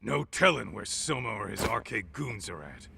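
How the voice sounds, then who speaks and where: A man voicing a tough, easygoing ex-gangster sidekick in a video game, gruff, gravelly and casually streetwise. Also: big pitch swings, normal speed, music in the background, exaggerated voice. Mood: wary, casual. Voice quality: low, gravelly. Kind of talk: video game cutscene acting.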